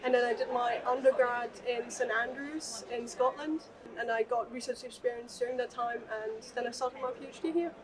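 A woman's voice: fairly high pitch, steady tone, normal speed, few pauses, recorded from a distance, a crowd in the background, low-quality recording. A young woman from Austria, a PhD student, answering questions thoughtfully with small fillers and restarts. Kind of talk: answering interview questions to camera. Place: large open atrium, indoors.

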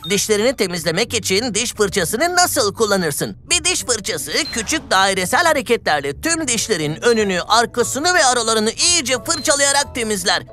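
A woman's voice: a little high, big pitch swings, moderate pace, few pauses, sharp tone, soft background music. A woman playing a cheerful, curious young male explorer in a children's cartoon, enthusiastic and full of questions. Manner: enthusiastic, exclamatory, inquisitive.

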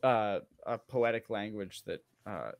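A man's voice: fairly low pitch, a little slow, creaky, dry recording, clean studio sound. A man in his thirties co-hosting a casual show, reading aloud and dropping sarcastic, mocking asides. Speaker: show co-host. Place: small room at home, on a video call stream.

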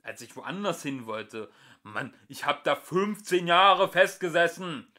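A man's voice: a little high, unhurried, pitched above the performer's own voice. A man voicing a brash alien braggart. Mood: blustering. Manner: loud, blustering, evasive. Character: brash, slippery two-headed male alien showoff.

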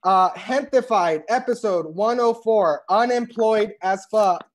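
A man's voice: high-pitched, a little slow. A man hosting casually on a video call and reading out stage directions. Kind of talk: casual group chat and script read-through. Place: indoor room, on a group video call.

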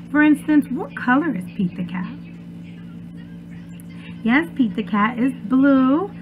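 High-voiced young woman, noisy phone-like recording. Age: young adult. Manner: sing-song, asking children questions. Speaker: preschool teacher. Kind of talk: children's read-aloud lesson. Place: small room at home. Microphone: webcam or laptop at arm's length. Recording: muffled phone-like webcam sound, loud noise.

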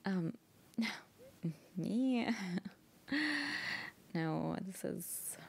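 A woman's voice: lowish voice, extremely slow, dark tone, heavily acted. A young woman playing a shy, flustered woman reuniting with an old flame in an intimate, hesitant, giggly ASMR roleplay. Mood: soft, nostalgic. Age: young adult. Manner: hesitant, giggly, often breathy asides. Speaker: girlfriend roleplay audio performer.

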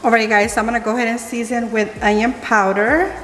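A woman in her thirties talks viewers through cooking in her own kitchen, casual and chatty, mixing in bits of Spanish. Her voice sits a little high. The room echoes with a long tail, and music plays clearly behind her. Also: level tone, fast. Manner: chatty, switches into Spanish phrases. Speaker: vlogger. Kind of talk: casual cooking vlog chat. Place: large home kitchen. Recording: roomy kitchen echo with background music.

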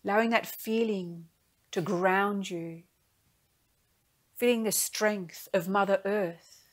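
A woman guides a meditation at a very slow, unhurried pace. Her mid-pitched voice is gentle and soothing, letting each soft phrase settle before the next. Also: fairly even intonation.

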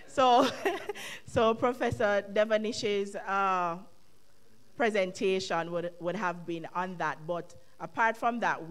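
A woman in her thirties with a Jamaican accent, answering questions on a university panel in a thoughtful, measured way at a podium microphone. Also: fairly low pitch. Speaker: conference panelist. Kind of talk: academic panel discussion. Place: university lecture hall. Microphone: microphone on stand at podium.

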